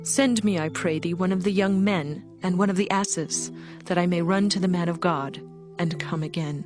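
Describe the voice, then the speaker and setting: A woman's voice: on the low side, steady tone, moderate pace, natural voice. An actress playing a devout, determined married woman in a dramatized audio Bible, speaking earnestly in formal archaic phrases. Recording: music bed behind the voice.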